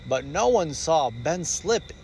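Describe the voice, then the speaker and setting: A man reading a rhyming children's story aloud in an expressive storyteller's style. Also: a little high, slow pace, slight background noise.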